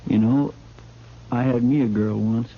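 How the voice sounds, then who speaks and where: An actor playing a deferential, simple frontier sidekick, plain-spoken and hesitant, in 1950s radio western style. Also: male voice, mid-range voice, fairly even intonation, measured pace, close to an everyday voice. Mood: wistful, hesitant. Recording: muffled old radio recording, noisy.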